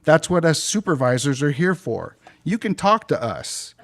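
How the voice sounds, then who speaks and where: A man voicing a calm male bus supervisor who talks in a soothing, placating way. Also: on the high side, moderate pace.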